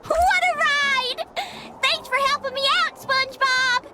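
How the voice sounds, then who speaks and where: A woman's voice: extremely high pitch, steady tone, a little slow, slight background noise, heavily acted. A woman voicing a tough, feisty squirrel with a Texan accent in a cartoon video game, brash and drawling. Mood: grateful. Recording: loud music behind the voice.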